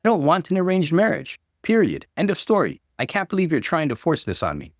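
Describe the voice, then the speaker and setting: A defiant young woman acted out by a male narrator in a dramatic story reading. The delivery is emphatic and exclaiming, with wide swings in pitch and a dry, close sound. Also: huge pitch swings, fairly quick, dry recording. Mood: frustrated, defiant. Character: defiant, upset young woman fighting for independence.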